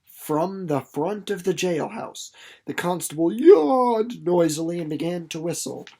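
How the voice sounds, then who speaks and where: Audiobook narrator, mid-pitched voice, dramatic literary storytelling with suspenseful slowing and softening. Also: expressive. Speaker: audiobook narrator reading a novel chapter.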